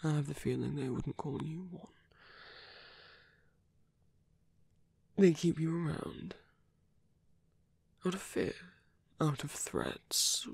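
Man playing a husky, whispery seductive villain. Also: on the high side, no echo, clean studio sound, heavily acted. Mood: coaxing, probing. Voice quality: breathy, husky, often whispering. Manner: slow, intimate, persuasive. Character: seductive, calm male villain leader, coaxing his captive hero. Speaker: voice actor. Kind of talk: ASMR roleplay monologue. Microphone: close, dry microphone.

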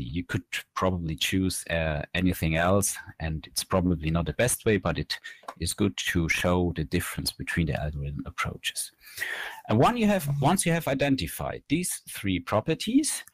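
A man's voice: deep voice, husky, no room sound. A man in his thirties giving a technical slide lecture, explaining things step by step on a headset mic. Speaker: researcher giving a conference talk. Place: indoor room, recorded over webcam.

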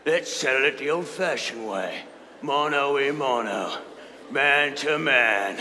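Man playing gruff blustering villain, noisy echoey hall. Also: medium pitch, lively intonation, takes it slowly. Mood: taunting. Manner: blustering, menacing, mock-friendly. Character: gruff villainous impostor posing as veteran auror professor. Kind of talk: live staged reading of comedy musical.

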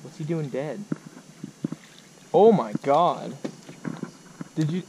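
A young man with a fairly high, animated voice talks in slangy, exclamatory bursts as he fishes. He is caught on an outdoor camera in an open boat, with clear noise around the voice. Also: slow pace. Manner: slangy, exclamatory, bro-heavy talk. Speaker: angler filming a fishing vlog. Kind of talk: excited casual chat while fishing. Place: small open boat on a lake. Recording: noisy outdoor action-camera audio on water.

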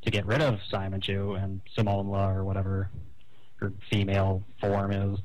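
A man talking on a phone call, airing grievances in a halting, explanatory way. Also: low-pitched, monotone, a little hiss or hum. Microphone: telephone call.

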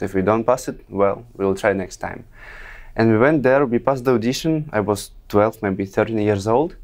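A young man with a Russian accent, a guest telling his story in an interview. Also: on the low side. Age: young adult. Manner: friendly, storytelling. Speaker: guest performer. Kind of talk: interview answers.

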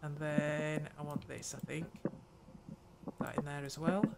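An older woman talks her way through a video game, thinking aloud as she goes. She speaks slowly and unhurriedly, pausing to muse over each small task. A steady hiss of background noise sits under her home recording. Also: deep voice, vocal fry. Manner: unhurried, muttering thoughts aloud while playing. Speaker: gaming channel host. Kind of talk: let's play commentary.

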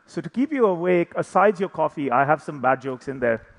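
A man's voice: on the high side, lively intonation, brisk. A man, middle-aged, giving an informal, joking conference presentation from a stage. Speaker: conference speaker. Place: large indoor conference hall, on stage. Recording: hall reverb from a stage PA.

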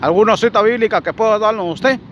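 A man's voice: sits high, fast, a crowd in the background. A man interviewing a preacher in a casual, friendly way, outdoors in a parking lot, recording on his own phone. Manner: friendly, asks question after question. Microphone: phone held in his own hand. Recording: dull phone audio, faint traffic.

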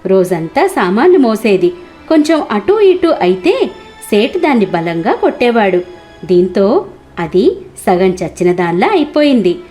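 A woman's voice: mid-range voice, sing-song, a little slow, mellow, faint music behind. A woman storyteller reading scripted narration for a cartoon moral tale.

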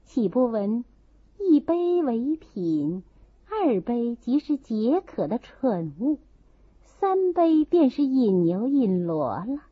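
A woman voicing a proud, fastidious, aloof young nun in a novel reading, with a haughty, cutting manner. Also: fairly high pitch, big pitch swings, breathy edge, no echo, exaggerated voice. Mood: haughty, teasing. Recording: slightly dull, muffled recording.

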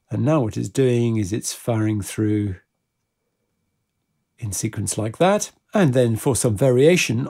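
Gravelly, husky man giving a tutorial. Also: mid-range voice, mellow. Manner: methodical, informal. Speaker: tutorial presenter. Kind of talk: step-by-step software tutorial narration.

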